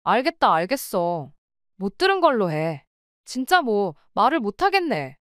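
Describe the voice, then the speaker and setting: A woman's voice: mid-range voice, sing-song, a little slow, fairly bright tone, dry recording, clean studio sound. A woman playing an exasperated wife who runs a restaurant, arguing with her husband and in-laws. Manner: pleading, then sharply arguing. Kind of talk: radio drama acting.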